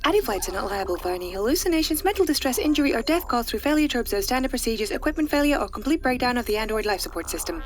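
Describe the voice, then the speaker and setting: A woman's voice: a little high, expressive, racing, few pauses. A woman playing a polite android flight attendant, making formal, courteous passenger announcements in a sci-fi audio drama. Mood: polite, official.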